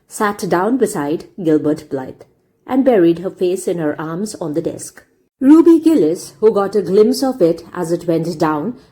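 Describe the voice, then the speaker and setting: A woman reading a classic story aloud as an expressive audiobook narrator. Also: mid-range voice.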